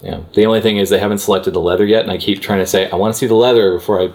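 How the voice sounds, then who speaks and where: Low-voiced chatty man. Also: racing. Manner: chatty, animated asides, many fillers. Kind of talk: casual chat.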